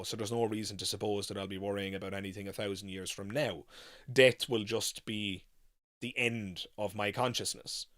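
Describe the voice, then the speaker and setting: A man's voice: on the low side, bright, forceful tone. A man in his thirties hosting a casual live chat, musing in a rambling way and repeating phrases for emphasis. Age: 30s. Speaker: livestream podcast host.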